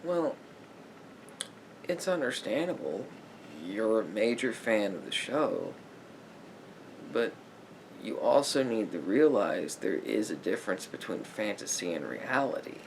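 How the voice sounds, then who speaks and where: Soft-spoken ASMR roleplay with a man acting as a patient, probing male therapist. His low, gravelly voice moves very slowly and haltingly, sinking at times into breathy murmurs. There is steady room noise behind him. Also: exaggerated voice, poor audio. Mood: calm, counselling. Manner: halting, deliberate phrasing with pauses and reflective murmurs.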